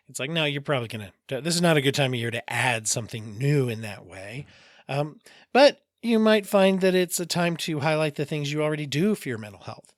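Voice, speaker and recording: A man hosting a casual solo mental health podcast, his voice a bit raspy, rambling with jokey asides and self-corrections. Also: mid-range voice, dry recording, clean studio sound. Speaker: podcast host, therapist.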